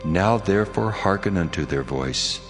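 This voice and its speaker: A man's voice: deep voice, expressive, normal speed, faint music behind. A man playing God, solemn and commanding, in a dramatized scripture reading.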